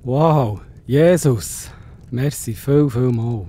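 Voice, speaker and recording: A man playing a devoted, emotional father in a children's Bible audio play, earnest and drawn-out, with a Swiss German accent. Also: mid-range voice, mellow, husky. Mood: amazed gratitude. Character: desperate, devoted father of a sick girl.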